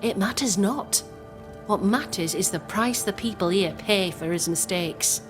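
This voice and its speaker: An actress playing a composed, resolute plotter in a video game, speaking in formal, measured phrases. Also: female voice, mid-range voice, natural voice. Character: composed, resolute woman plotting against a captain. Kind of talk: video game cutscene acting. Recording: prominent music underneath.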